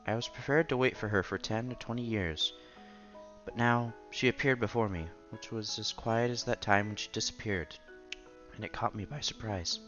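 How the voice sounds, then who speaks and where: A man's voice: lowish voice, a bit bright, highs cut off. A man streaming a visual novel, reading its narration and dialogue aloud expressively. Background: game music.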